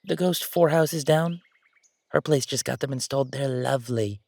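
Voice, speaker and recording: A man's voice: medium pitch, moderate pace, gravelly, darkish tone, no echo, clean studio sound. A man playing a chatty, easygoing ghost who died at thirty-five, rambling casually with wry asides in an audio roleplay. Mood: helpful, chatty. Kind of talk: conversational audio roleplay monologue.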